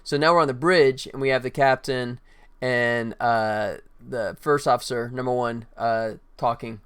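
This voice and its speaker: A man's voice: mid-range voice, steady tone, unhurried, a bit bright, clean tone. A man hosting a casual game development livestream, chatty and informal, talking directly to viewers. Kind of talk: casual livestream chat, showing work in progress.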